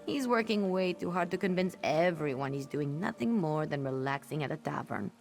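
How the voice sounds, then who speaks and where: A woman playing a loyal, warm-hearted young former pickpocket in a video game, speaking in an earnest, heartfelt way. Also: sits low, animated, moderate pace, vocal fry, soft background music. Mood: wary, scornful. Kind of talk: video game character dialogue.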